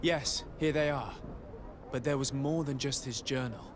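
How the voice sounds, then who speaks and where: A man playing a composed, diplomatic young noble legate in a video game, speaking formally and in measured, articulate phrases. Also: mid-range voice, fairly even intonation, close to an everyday voice. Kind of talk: video game cutscene acting. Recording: noisy outdoor ambience behind the voice.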